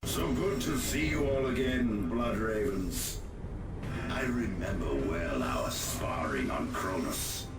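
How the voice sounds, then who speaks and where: An actor playing a suave, mocking warlord of chaos in a video game, theatrical and mock-courteous. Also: male voice, fairly low pitch, expressive, slow pace. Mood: mocking welcome. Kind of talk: dramatic video game acting. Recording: echoing, distant, under loud music.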